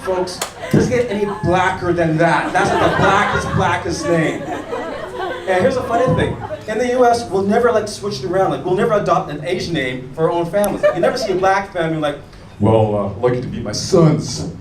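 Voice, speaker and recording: An American man doing a stand-up comedy set on a club stage, animated and chatting with the audience. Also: sits high, moderate pace. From United States. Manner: animated, mimics locals and chats with the audience. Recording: echoey room taped from far off, crowd noise.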